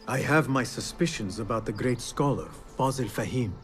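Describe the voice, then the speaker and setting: An actor playing a guarded, determined young assassin in dramatic video game dialogue. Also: male voice, medium pitch, moderate pace, natural voice. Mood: grave. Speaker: game protagonist. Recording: music behind the voice.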